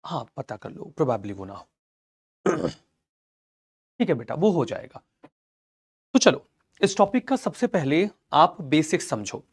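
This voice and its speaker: A young Indian man with a mildly hoarse throat leads a live online history lesson. His voice sits a little high, and his tone is calm and reassuring. He speaks Hindi with English mixed in. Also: slow pace, long pauses. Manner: reassuring, calls students "beta", mixes English into Hindi. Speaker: online teacher.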